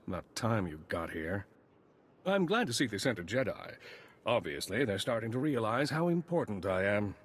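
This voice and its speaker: Pompous, animated game lieutenant. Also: male voice, mid-range voice, husky. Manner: pompous, petulant. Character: self-important whiny young male noble lieutenant.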